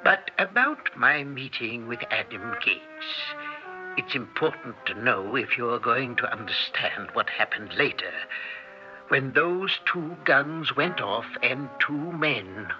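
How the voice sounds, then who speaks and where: An actor playing a reserved scientist in an old-time radio drama, speaking in a measured, formal way. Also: male voice, mid-range voice, big pitch swings. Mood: grave, reflective. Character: reserved male scientist recounting a deadly incident. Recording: dull vintage radio broadcast with music.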